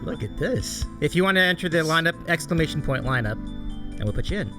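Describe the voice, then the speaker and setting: A man's voice: fairly high pitch, expressive, fast talker, over music. A man hosting a livestream, chatting casually with his guest and viewers. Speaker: livestream host.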